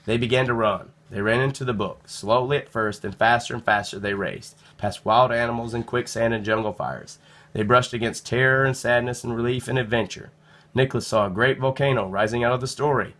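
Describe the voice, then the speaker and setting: A man narrating a children's storybook in an expressive read-aloud style. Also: mid-range voice, fairly quick.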